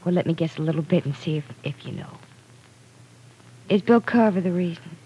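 A woman's voice: on the low side, mellow, slightly rough, poor audio. An actress playing a direct, earnest woman in an old-time radio drama, questioning firmly and persistently. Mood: probing. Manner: firm, measured, probing.

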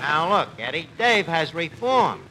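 A bright, high-voiced cocky New York tavern manager in a 1940s radio comedy. He swings through his lines with streetwise, pompous malapropisms and easy gullibility. The old broadcast recording has some background noise. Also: very animated, measured pace, full character voice, low-quality recording. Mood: earnest, defensive. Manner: mangled pompous phrasing, comic delivery.

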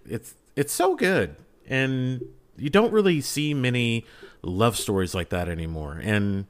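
Emphatic low-voiced man chatting as a fan. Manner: emphatic, fervent, urging listeners. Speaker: podcast panelist. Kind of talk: casual fan discussion.

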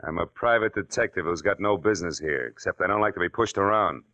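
An actor playing a tough, wry private detective in an old-time radio drama, terse and sardonic. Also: male voice, low-pitched, fast talker, pushed and bright, lo-fi sound. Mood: brisk, firm.

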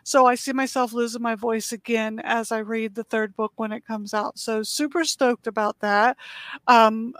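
A woman's voice: fairly high pitch, steady tone, moderate pace, a bit bright, clear, resonant tone, dry recording. A middle-aged woman hosting a podcast, chatting casually and bubbly, laughing often. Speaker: podcast host. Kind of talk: casual interview chat.

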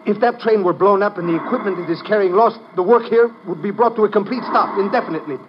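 A man's voice: high-pitched, fast talker, close to an everyday voice. A man playing an earnest, insistent construction chief in classic radio drama, with formal, precise, emphatic phrasing. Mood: grave. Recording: muffled, noisy old radio recording.

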